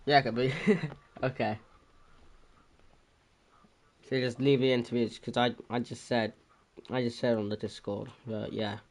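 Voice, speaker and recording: A man's voice: mid-range voice, little pitch movement, normal speed. A man hosting a casual stream with a cold, talking in a rambling, unsure way with many restarts. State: has a cold, a bit congested. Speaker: stream host. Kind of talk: casual chat.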